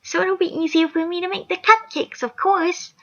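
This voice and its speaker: A woman's voice: extremely high pitch, steady tone, moderate pace, a bit bright, voice pushed up. A woman putting on a gleefully creepy pink pony. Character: giggly cheerful pink pony mare, creepily sweet. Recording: lo-fi dull recording.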